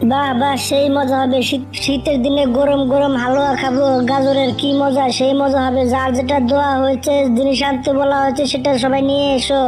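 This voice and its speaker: A woman's voice: sits high, completely flat delivery, brisk, hardly any pauses, over music, voice pushed up, close to an everyday voice. A woman voicing an eager, friendly bird in an amateur cartoon, with an enthusiastic manner. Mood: excited, bossy.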